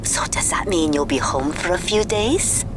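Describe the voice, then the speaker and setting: A woman's voice: lowish voice, lively intonation, over music, natural voice. A woman playing a proud, affectionate middle-aged mother in a video game, speaking tenderly. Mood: wistful, loving. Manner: tender, unhurried. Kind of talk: naturalistic video game cutscene acting.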